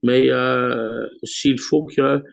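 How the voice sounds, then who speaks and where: Gravelly Québécois man hosting a video call. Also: mid-range voice, flat delivery, no echo. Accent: Québécois French. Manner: hesitant, many pauses and "euh" fillers. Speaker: host of a weekly video call. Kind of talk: casual live address on a video call. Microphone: computer mic on a video call. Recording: dull lo-fi video call audio.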